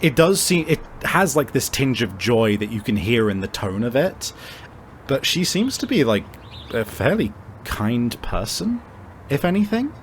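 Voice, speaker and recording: A man narrating a tabletop roleplay game as game master, improvising in a halting, conversational way. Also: mid-range voice, very animated, slight background noise. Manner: halting, conversational, frequent searching pauses.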